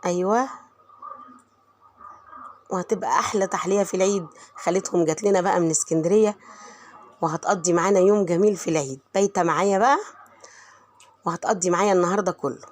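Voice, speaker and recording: Middle-aged Egyptian woman, home vlogger with a cold, hoarse and quick, chatty and warm toward her viewers. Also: lowish voice. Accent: Egyptian Arabic. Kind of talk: chatty voice-over narration of home cooking.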